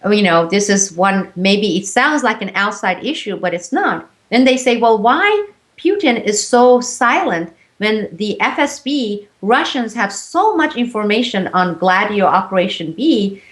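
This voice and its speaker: A woman's voice: mid-range voice, expressive, measured pace. A woman whose first language is Turkish, speaking as an interview guest and explaining in an earnest way with frequent hesitations. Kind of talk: interview conversation.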